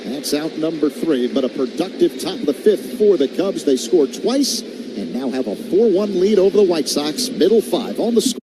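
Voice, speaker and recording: A man's voice: fairly high pitch, big pitch swings, normal speed, over loud music, poor audio. A man doing live radio play-by-play of a baseball game in clipped, descriptive phrases. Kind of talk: live radio sports commentary. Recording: loud ambient ballpark noise behind.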